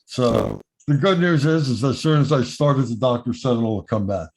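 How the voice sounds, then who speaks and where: A man's voice: medium pitch, moderate pace, vocal fry, rough recording. A man talking frankly in a casual video call, his voice changed by illness. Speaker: meeting participant.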